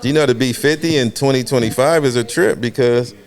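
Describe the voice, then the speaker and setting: A man's voice: medium pitch, a little fast. A man in his fifties co-hosting a podcast from Los Angeles, chatting casually in laid-back slang and laughing often. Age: 50s. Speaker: podcast co-host. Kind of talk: casual chat.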